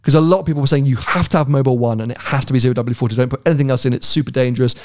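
A middle-aged man co-hosting a casual podcast chat, rambling and chatty, his voice jumping up when he gets excited, recorded on a handheld mic in a hotel room. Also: mid-range voice, racing. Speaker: podcast co-host. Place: hotel room by a big window. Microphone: handheld mic with headphones.

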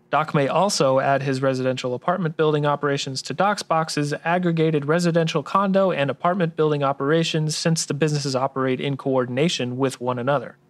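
A man's voice: on the high side, natural voice. A man playing an obliging tax explainer sidekick, giving orderly scripted explanations in a radio drama. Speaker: tax expert co-host.

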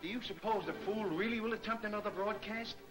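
High-pitched, impatient mayor played by a man. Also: fast, close to an everyday voice, poor audio. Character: impatient, demanding male city mayor. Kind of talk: 1940s film serial acting. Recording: old 1940s film soundtrack, music behind.

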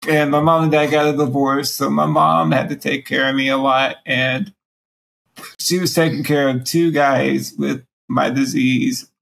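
Man, 40s, raspy and gravelly, halting delivery through a breathing machine, interview guest over video call. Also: medium pitch, flat delivery, fairly bright tone, low-quality recording. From Alabama. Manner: speaks around a breathing machine mouthpiece, pausing often. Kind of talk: candid personal interview. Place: small room at home, indoors.

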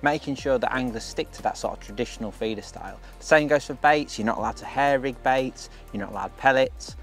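A man with an English accent giving explanatory highlights commentary on a fishing match. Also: brisk, faint music behind.

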